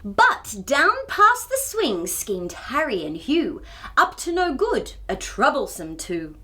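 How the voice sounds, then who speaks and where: A woman hosting a children's storytime, reading a picture book aloud in an expressive, theatrical way and chatting to young viewers. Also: sits high, slow pace. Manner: expressive, theatrical, with gasps and exclamations.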